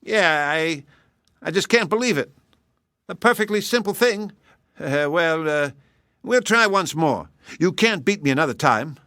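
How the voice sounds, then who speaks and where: Man doing a haughty storybook hare, high sneering voice, swoops up and down, bright tone. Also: lively intonation, unhurried, no room sound, clean studio sound, exaggerated voice. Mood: bewildered. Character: boastful, haughty male hare.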